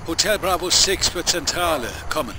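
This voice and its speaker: An actor playing a businesslike police dispatcher in a radio drama, clipped and procedural. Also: male voice, a little high, lively intonation, normal speed, music in the background, natural voice. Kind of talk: modern horror radio drama. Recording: very noisy police radio transmission.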